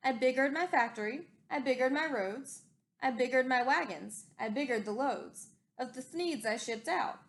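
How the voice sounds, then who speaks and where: A woman's voice: high voice, moderate pace, vocal fry. A woman voicing a greedy, defiant male factory owner from a storybook, insistent and boastful.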